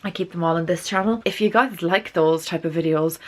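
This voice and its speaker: A congested young Irish woman talks casually to her camera at home. Her voice sits a little low and sounds nasal from blocked sinuses. She rambles in an easy, chatty way, full of "like.". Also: a little fast. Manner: chatty, peppered with "like". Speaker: beauty and lifestyle vlogger. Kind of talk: casual vlog chat to camera. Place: at home, indoors.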